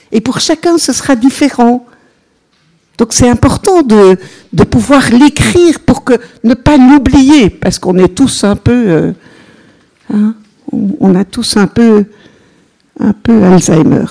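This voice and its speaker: An older woman with a Belgian French accent, a religious sister giving an informal, chatty teaching to a seated group and often pausing to address them directly. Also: fairly high pitch, dark tone. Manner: chatty, unhurried, peppered with "voilà", engages listeners.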